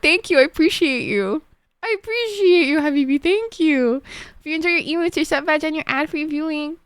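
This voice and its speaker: A woman's voice: high-pitched, no echo. Chatty Egyptian woman streamer, swears a lot. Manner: chatty, excitable, swears freely. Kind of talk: casual chat while gaming.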